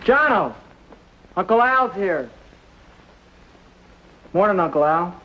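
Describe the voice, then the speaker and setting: A man's voice: high-pitched, lively intonation, slow, sharp tone, exaggerated voice. A young man playing a plainspoken, bossy but caring teenage big brother in a 1950s TV drama. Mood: earnest. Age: teens. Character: protective, scolding sixteen-year-old big brother. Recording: muffled vintage soundtrack, very noisy.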